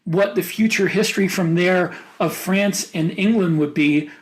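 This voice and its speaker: A man's voice: high voice, moderate pace. An American man co-hosting a podcast, talking casually and reflectively in a panel discussion. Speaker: podcast co-host.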